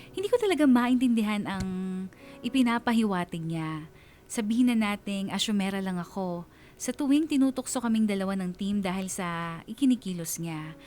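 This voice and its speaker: A woman's voice: mid-range voice, darkish tone, close to an everyday voice. A woman playing a lovestruck young woman confiding her story in dramatic narration, with a Filipino accent. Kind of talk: scripted dramatic first-person narration.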